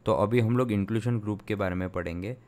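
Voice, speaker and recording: A young man, a sound engineer from India, explains software step by step in a tutorial, thinking aloud with many fillers and restarts. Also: lowish voice, quick pace. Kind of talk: screen-recorded software tutorial.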